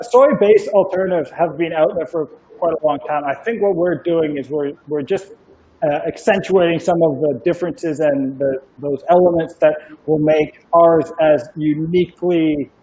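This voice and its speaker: A man's voice: fairly high pitch, mellow. A man being interviewed about his company, talking casually with frequent fillers and restarts over a video call. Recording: muffled lo-fi audio.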